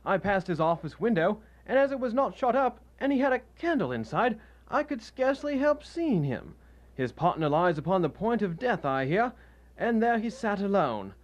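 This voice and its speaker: A man's voice: sits high, very animated, moderate pace, lo-fi sound. A man playing an amiable, chatty young husband telling a story in a radio drama. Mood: gossipy. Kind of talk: live student radio drama acting.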